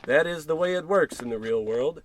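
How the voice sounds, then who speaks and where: Blustering working-class husband played by a man, slightly high pitch, money-worried and irritable, old-time radio comedy delivery. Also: animated, a little fast, slight background noise, close to an everyday voice, low-quality recording. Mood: gruff, impatient. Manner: blustering, sarcastic, grumbling. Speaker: actor in scripted comedy podcast.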